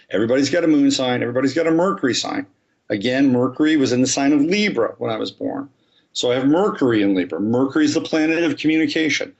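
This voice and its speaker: Man, astrologer guest, methodical explainer, mid pitch, muffled lo-fi sound. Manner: methodical, talks through examples step by step. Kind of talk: explaining in a podcast interview.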